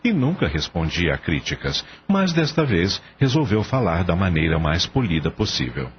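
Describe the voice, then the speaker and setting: A man's voice: low voice, very animated, moderate pace. A man reading scripted story narration for a radio drama. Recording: dull lo-fi audio, faint background noise.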